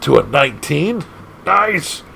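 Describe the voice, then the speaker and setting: A man casually commenting on an arcade game as he plays, excitable and laughing often, leaping from squeaky highs to low growls. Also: slow, gravelly, slight background noise. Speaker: gaming channel host.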